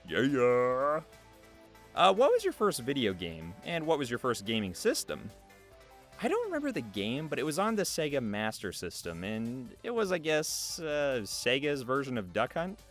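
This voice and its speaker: Male interview host, very expressive melody, upbeat scripted read with frequent chuckles, music bed. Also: medium pitch, moderate pace. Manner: chuckles often between lines. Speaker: host reading an artist's written interview answers. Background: background music.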